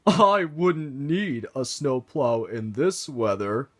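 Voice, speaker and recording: A man's voice: mid-range voice, lively intonation, slow, fairly bright tone, pitched above the performer's own voice. A man voicing a big, proud, boastful steam engine in a storybook reading. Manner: boastful, whiny when asked to work.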